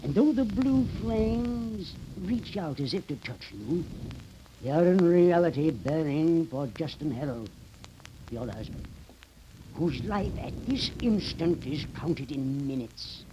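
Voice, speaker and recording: An actor playing a sinister, pompous occult professor in deliberate, portentous 1930s radio horror style. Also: male voice, on the high side, animated. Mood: ominous, portentous. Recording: noisy old 1930s radio recording.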